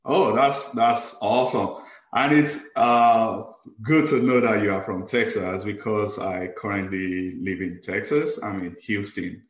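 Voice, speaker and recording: Man, podcast host, low voice, echoey room, eager interviewer. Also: fairly even intonation, unhurried. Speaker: podcast host and life coach. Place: lives in Houston. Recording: roomy echo.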